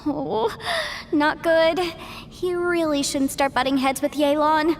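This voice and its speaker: A woman's voice: high-pitched, fairly even intonation, slow pace, long reverb tail. A woman playing a polite, chatty young legal advisor in a video game, articulate and lightly wry. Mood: concerned.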